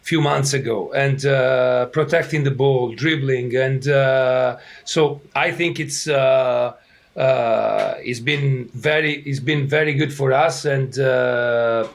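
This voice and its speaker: A man's voice: medium pitch, fairly even intonation, slow. A middle-aged man speaks English with a marked Italian accent. He answers interview questions hesitantly, with many fillers and restarts. His voice sits in an ordinary middle range, and his throat sounds a little scratchy. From Italy. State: throat irritated. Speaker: soccer head coach.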